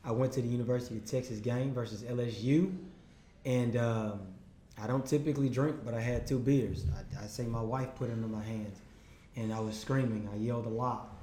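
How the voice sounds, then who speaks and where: A man with a hoarse voice hosts a relaxed interview show, often tripping over the start of his questions. His pitch sits in an ordinary middle range. The room around him rings with a noticeable echo. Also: slight background noise. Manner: halting, repeats words when starting questions. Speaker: podcast host. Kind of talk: casual interview chat.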